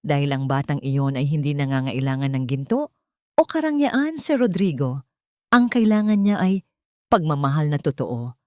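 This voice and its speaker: A woman's voice: low voice, very animated, moderate pace, no echo, studio quality. A woman voicing a humble female household cook in an audio drama, respectful but firm and earnest. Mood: earnest, pleading.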